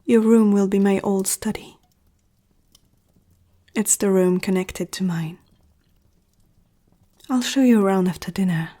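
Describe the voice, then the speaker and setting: Woman playing a breathy, whispering ancient vampire noblewoman. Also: medium pitch, mellow, close to an everyday voice. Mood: soft, sincere. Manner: poised, intimate, deliberate. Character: ancient powerful female vampire aristocrat, courteous and seductive. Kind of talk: ASMR roleplay, soft half-whispered acting.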